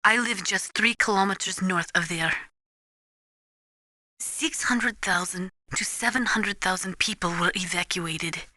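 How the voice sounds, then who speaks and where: A resolute female Ukrainian nuclear analyst for a video game. Her voice is crisp-edged and forceful at a medium pitch. She lectures with grave, deliberate earnestness in a dry studio sound. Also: dry recording. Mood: somber, resolute. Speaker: military advisor briefing a soldier. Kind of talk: dramatic video game voice acting.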